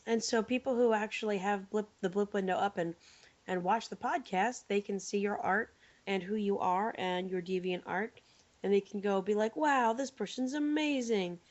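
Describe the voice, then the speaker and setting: A woman co-hosting a podcast with a hoarse voice from laryngitis, joking and bantering in casual chat. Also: medium pitch, moderate pace, darkish tone. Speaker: podcast co-host. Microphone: remote call microphone. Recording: dull lo-fi online call audio.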